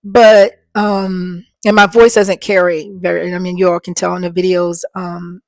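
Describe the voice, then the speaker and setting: A woman in her fifties with a soft voice that doesn't carry, talking to her viewers in a rambling, earnest way through a small microphone, her voice sounding worn. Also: lowish voice, level tone, a little slow, no echo. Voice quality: soft voice that does not carry. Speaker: vlogger. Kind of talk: casual talk to viewers.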